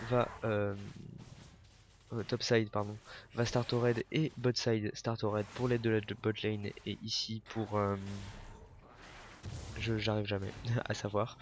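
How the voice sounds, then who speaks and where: A young man with a cold, commentating a video game match alone, hesitant, with many fillers and self-corrections. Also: medium pitch. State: has a cold, coughing. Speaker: esports caster.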